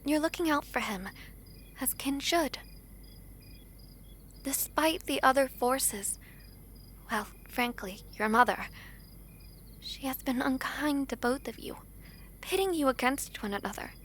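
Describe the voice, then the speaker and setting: A woman playing a gentle, earnest young princess in a romantic fantasy audio drama, speaking softly and formally. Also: high-pitched, expressive, a little hiss or hum. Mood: earnest, tender. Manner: soft, formal, measured diction with tender pauses.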